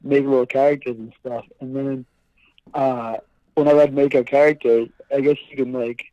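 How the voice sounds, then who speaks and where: A young man from Boston, a guest on a casual chat, laughing often and talking in a relaxed way over a remote call. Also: medium pitch, normal speed, no room sound. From Boston, USA. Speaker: guest, fighter. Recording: dry, dull call audio.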